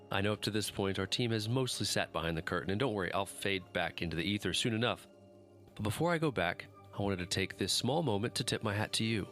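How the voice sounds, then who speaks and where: Casting: deep-voiced man as podcast host, scripted intro read over light music. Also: a bit bright. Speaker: author and host introducing the show.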